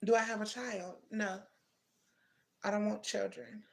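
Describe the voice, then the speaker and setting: Gravelly-voiced woman chatting on a livestream. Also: mid-range voice, steady tone, unhurried. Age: 30s. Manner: chatty, addresses viewers as baby and twin, answers comments. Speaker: livestream host. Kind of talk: casual live chat with viewers.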